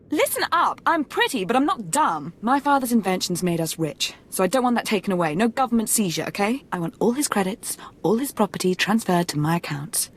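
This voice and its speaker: A woman's voice: mid-range voice, very animated, moderate pace, slightly rough. An actress playing a spoiled, brash young rich woman in a video game, flippant and sassy. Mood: indignant, bratty. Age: young adult.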